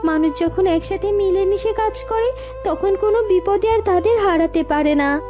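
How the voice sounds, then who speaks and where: A woman's voice: very high-pitched, normal speed, over music. A woman voicing a kind, dignified young cartoon princess who speaks in a reassuring, moralizing way. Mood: gentle, wise. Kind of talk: Bengali fairy-tale cartoon dubbing.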